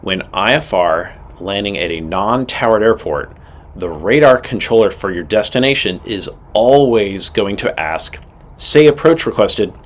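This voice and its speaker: A man's voice: on the low side, lively intonation, measured pace. A man narrating a scripted training lesson as an instructor, in a direct, matter-of-fact way with emphatic points. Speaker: instructor presenting a pilot training video.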